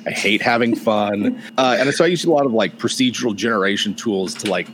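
Deep-voiced Texan panelist, deadpan humor. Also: male voice, noisy background. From Texas. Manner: dry joking, brisk. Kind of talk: casual panel chat.

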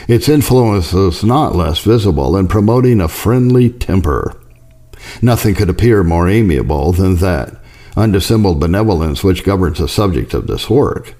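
A man with a very low, mellow voice reads a historical religious letter aloud. His delivery is formal and measured, with a solemn tone and lively rises and falls in pitch. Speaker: audiobook reader.

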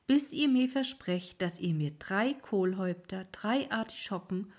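A woman voicing an old male ferryman in a sleep story, loud and raised above her soft reading. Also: medium pitch, animated, a little slow. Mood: stern. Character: old male ferryman, patient but alarmed.

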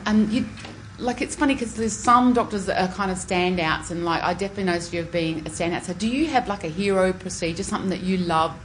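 A woman's voice: lowish voice, quick pace, a bit bright, slight background noise, poor audio. A woman with an Australian accent hosting an interview in an informal, effusive, chatty way. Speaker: podcast host interviewer.

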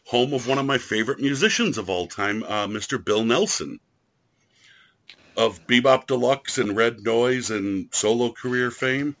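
A male host in a relaxed chat, joking and teasing his guests. His voice is bright and forward, and he talks at an easy, mid pitch. He sounds casual throughout. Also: unhurried. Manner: jokey, teasing.

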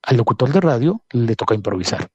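Hesitant man with a mellow, husky voice. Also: medium pitch, very animated, a little fast, no echo, lo-fi sound. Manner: hesitant, self-aware, storytelling. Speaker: panel guest, former broadcaster. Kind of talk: reflective panel talk.

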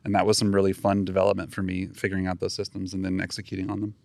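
Male guest, game artist, low voice, dry close sound, thinks aloud as he talks. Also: monotone, rapid-fire, creaky, a little mellow, no room sound. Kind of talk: casual podcast chat.

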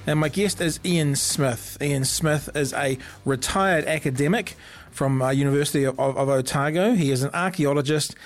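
A man with a New Zealand accent hosting an interview show in a chatty, rambling way, a little husky after an illness. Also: on the high side, normal speed, faint music behind. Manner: chatty, rambling, with many restarts. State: recovering from illness, slightly husky. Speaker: podcast host. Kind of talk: casual interview chat.

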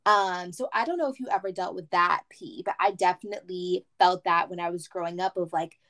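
A woman in her twenties co-hosting a podcast, talking in a relaxed, casual way with plenty of "like.". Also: on the low side, fairly quick. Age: 20s. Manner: relaxed, uses "like" often. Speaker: podcast co-host. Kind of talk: casual chat.

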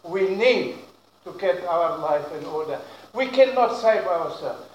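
High-pitched pastor preaching in echoing church. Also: male voice, a little slow. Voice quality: non-native English, broken grammar. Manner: loud preaching, rising to forceful emphasis. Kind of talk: sermon. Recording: very echoey room.

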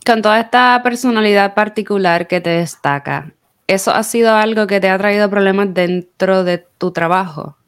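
A woman's voice: medium pitch, moderate pace, clean tone. A woman with a Puerto Rican Spanish accent, interviewing a guest with chatty, probing questions. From Puerto Rico. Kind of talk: conversational interview.

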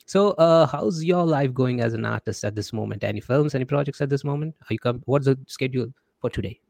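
A man's voice: medium pitch, fairly quick, creaky, darkish tone, dry recording. A man with an Indian accent hosting a casual interview, chatty, often repeating his words and acting out the messages he quotes. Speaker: podcast host.

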